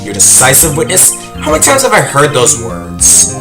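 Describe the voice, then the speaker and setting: A man's voice: mid-range voice, huge pitch swings, normal speed. Flustered old courtroom judge acted by a man. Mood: skeptical. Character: flustered, skeptical older male courtroom judge. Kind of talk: dramatic dialogue in a video game fandub. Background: prominent music.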